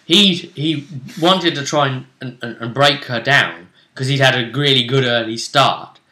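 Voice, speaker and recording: A man's voice: medium pitch, unhurried, sharp tone, roomy sound. A man hosting a tabletop role-play show, talking through events in casual recap commentary. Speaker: host of a tabletop role-play tournament vidcast.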